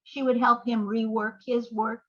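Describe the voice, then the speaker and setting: An older woman talking as an interview guest, telling stories conversationally in halting, reflective phrases. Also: on the high side, flat delivery, dull audio. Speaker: playwright and screenwriter guest.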